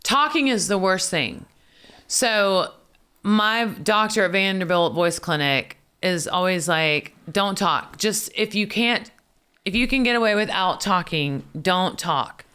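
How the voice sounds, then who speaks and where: A woman, chatting casually as a podcast host. Her voice is bright and smooth, loud by nature, with a slightly tired edge. She talks freely and jumps in to demonstrate. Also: mid-range voice, slow. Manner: chatty, demonstrates vocal warm-ups. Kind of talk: casual chat.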